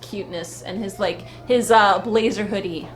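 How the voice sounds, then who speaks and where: A woman's voice: mid-range voice, moderate pace, faint music behind, low-quality recording. A woman co-hosting a fan vlog, chatting casually in a rambling, exclamatory way. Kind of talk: casual live chat recap.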